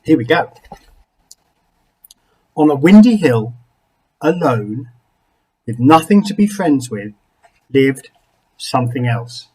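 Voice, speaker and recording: Animated man reading a children's story, husky voice. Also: medium pitch, rough recording. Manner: animated, with dramatic pauses and asides to young listeners. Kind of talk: expressive read-aloud of a children's picture book.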